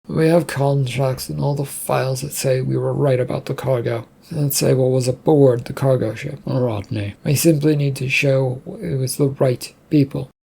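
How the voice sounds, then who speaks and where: A man's voice: medium pitch, fairly even intonation, moderate pace, vocal fry, hardly any pauses, warm tone, slightly rough. A man voicing a troubled, insistent male shipping company boss in a story, with an urgent, grumbling manner.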